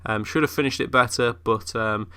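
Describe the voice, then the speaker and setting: A man's voice: mid-range voice, fairly quick, pushed and bright, smooth. A young man who is ill, chatting casually through game commentary with lots of fillers. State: ill, voice a bit off. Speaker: gaming YouTuber.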